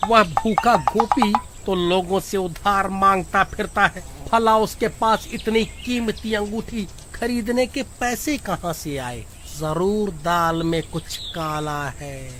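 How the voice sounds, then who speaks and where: A man voicing an excitable, whiny village man in a horror cartoon. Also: very high-pitched, a little slow, background noise. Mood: angry, irritated. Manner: whiny, exclaiming, agitated. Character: panicky, irritable village man, pleading and excitable. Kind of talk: animated story acting. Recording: faint music behind the voice.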